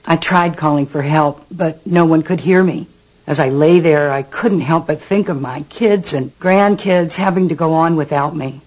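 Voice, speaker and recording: A woman's voice: low voice, moderate pace, a little mellow, poor audio. A woman playing an older grandmother in a scripted advert testimonial, speaking earnestly and dramatically about her own ordeal. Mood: shaken, fearful. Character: frightened grandmother recounting a fall, grateful for help.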